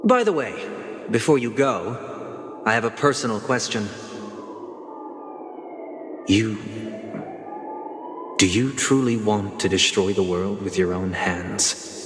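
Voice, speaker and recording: Solemn, slow, echoing man. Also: lowish voice, expressive. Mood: grave, probing. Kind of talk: dramatic game voice acting. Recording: echoey hall reverb with noise.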